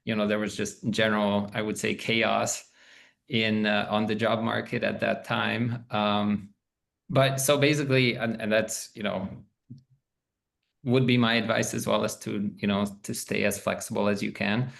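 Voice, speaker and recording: Low gravelly man on a call. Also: little pitch movement, a bit bright. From Canada. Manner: halting, laughs between thoughts. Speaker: professor on alumni panel. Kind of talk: casual panel talk. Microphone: video call.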